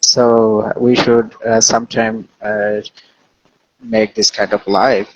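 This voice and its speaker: A man's voice: fairly low pitch, little pitch movement, slow. A man with a Bangladeshi English accent, a Bengali speaker, tutoring English on a live stream. He talks earnestly and at length, with many "uh" fillers. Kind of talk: casual advice in a live chat. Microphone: online live stream call. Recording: dull lo-fi stream audio with faint noise.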